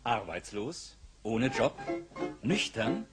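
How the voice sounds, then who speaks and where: A man's voice: medium pitch, sing-song, takes it slowly. A man playing a boisterous beer commercial announcer in a cartoon radio play. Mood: hyped. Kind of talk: parody TV advert. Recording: loud music behind the voice.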